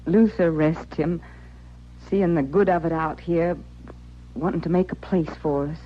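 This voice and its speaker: A woman's voice: deep voice, fairly even intonation, unhurried. A woman playing a proud, wounded frontier widow with folksy, rustic phrasing in an old-time radio western. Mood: remorseful, earnest. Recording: dull vintage radio recording.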